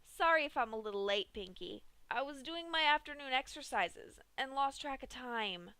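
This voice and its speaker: A woman's voice: sits high, lively intonation, moderate pace, bright, forceful tone, smooth, no room sound. A woman voicing a brash, sporty young female pegasus pony, talking in a casual, slangy way. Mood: apologetic.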